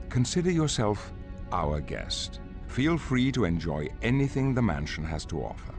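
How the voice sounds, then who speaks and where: Deep calm older headmaster guy, formal and measured, game score behind him. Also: vocal fry, heavily acted. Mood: gentle, formal. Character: calm older male mentor leading a hero academy. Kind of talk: video game cutscene acting. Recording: music behind the voice.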